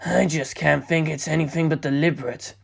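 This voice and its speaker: A narrator voicing a laid-back, flirtatious male director in an easygoing, low-key way for an audiobook. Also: fairly even intonation, brisk, gravelly. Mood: thoughtful.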